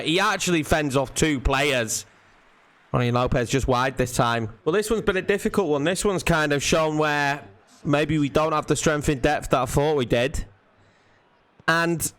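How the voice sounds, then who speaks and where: A young man giving lively play-by-play commentary over his football video game, rising sharply at chances and goals. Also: fairly high pitch. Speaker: gaming YouTuber.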